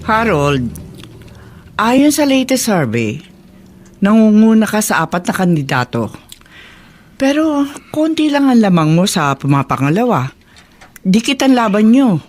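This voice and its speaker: A woman's voice: mid-range voice, sing-song, unhurried, pauses often, a little mellow. An actress in a Filipino radio drama playing an anxious, pushy mother, insistent and fretful. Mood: concerned.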